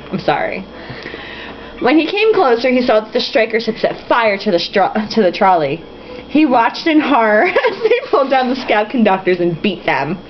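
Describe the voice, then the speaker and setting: A woman reading a novel aloud in a casual, comic way, with sarcastic, foul-mouthed asides. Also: mid-range voice. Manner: tipsy drinking-game reader. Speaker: host reading a novel aloud with mocking commentary. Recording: dull lo-fi with faint hiss.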